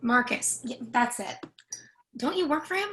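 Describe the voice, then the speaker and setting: A woman's voice: sits high, expressive, normal speed, sharp tone. A woman playing a blunt, wry character with a folksy way of talking in a staged play reading. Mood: wry, needling. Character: blunt, breathless Irish young woman, earthy and teasing.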